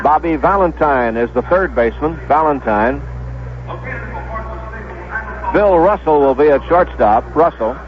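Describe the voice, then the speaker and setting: A man with an American accent and a slightly high voice announces baseball in a measured 1970s radio style. He repeats names so they are clear. The broadcast sounds dull and lo-fi. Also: lively intonation. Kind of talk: 1970s radio sports commentary, pregame lineups. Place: stadium broadcast booth.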